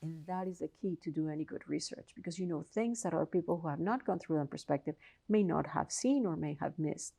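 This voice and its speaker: Woman, Colombian Spanish accent, lowish voice, gravelly fry, earnest interview answers. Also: brisk, warm tone. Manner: earnest and explanatory, with frequent restarts. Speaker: professor and researcher, interview guest.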